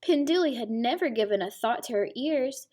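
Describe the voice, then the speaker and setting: Female teacher, slightly high voice, storybook read-aloud for young children, explanatory delivery. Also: animated, moderate pace, mellow.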